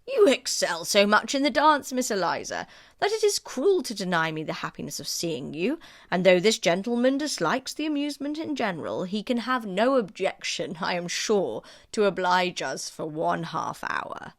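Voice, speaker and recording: A woman voicing a gallant, garrulous older gentleman in an audiobook, courtly and effusively flattering. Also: mid-range voice, normal speed. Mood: flattering, coaxing. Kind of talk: audiobook character acting.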